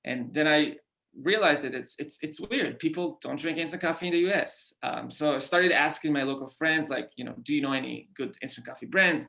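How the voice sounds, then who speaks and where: Gravelly Israeli man with halting speech. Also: fairly high pitch, sharp tone, low-quality recording. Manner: halting, frequent "uh" fillers and repeated words. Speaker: guest founder. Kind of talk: podcast interview.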